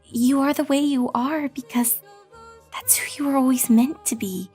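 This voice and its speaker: A woman voicing a young female pony scholar, earnest, gentle and hesitant, in a fan audio drama. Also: sits high, normal speed, husky, faint music behind. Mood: earnest, probing.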